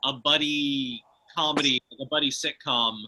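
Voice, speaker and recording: Very bright-voiced man chatting casually. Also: mid-range voice, steady tone, normal speed, slight background noise, low-quality recording. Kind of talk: casual chat.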